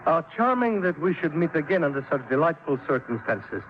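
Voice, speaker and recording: An actor playing a suave, smug foreign villain in a 1950s radio drama, with silky, florid, mock-affectionate delivery. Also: male voice, a little high, sing-song, brisk, faint music behind. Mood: smug gloating. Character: suave, gloating male foreign villain, smugly theatrical. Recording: muffled, noisy old radio recording.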